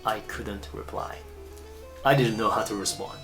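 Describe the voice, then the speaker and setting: A man in his thirties from Japan, an English coach narrating his own vlog in careful, measured English. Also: lowish voice, fairly even intonation, a bit bright, faint music behind.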